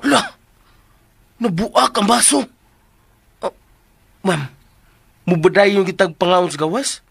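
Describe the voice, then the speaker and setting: A man's voice: high voice, lively intonation, slow pace, vocal fry, fairly bright tone, breathy edge, no echo, heavily acted. A man playing a cheeky, bumbling family driver in a Cebuano Filipino radio drama, jokey and eager to please. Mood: chatty. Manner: jokey, prattling, deferential.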